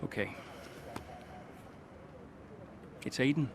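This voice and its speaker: A man playing a weary veteran racing driver, gruff but soft-hearted, curt and grudging in a dramatic game cutscene. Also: fast talker, a little hiss or hum, close to an everyday voice. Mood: defensive, uneasy. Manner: curt, grudging, hesitant when opening up.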